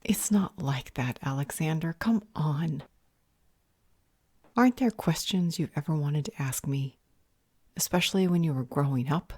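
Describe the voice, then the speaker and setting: A woman acting a composed, cryptic vampire healer in an audio roleplay drama, speaking in a measured, polite and dryly sarcastic way. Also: low voice, darkish tone, slightly rough, dry recording. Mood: urgent, cryptic.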